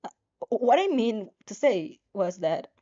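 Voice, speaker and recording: A woman's voice: fairly high pitch, very animated, slow, mellow, no echo, full character voice. A woman voicing a polite, nervous teenage girl who is a new student, with hesitant delivery, in a fanfiction reading. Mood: flustered. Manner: hesitant, stammering. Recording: slightly muffled audio with music behind.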